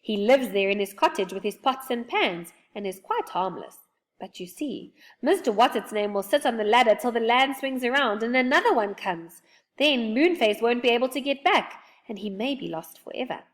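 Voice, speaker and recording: A woman's voice: on the high side, animated, normal speed, natural voice. A woman voicing a tearful young female fairy, speaking in sobs and pleas. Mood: worried. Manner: sobbing, pleading.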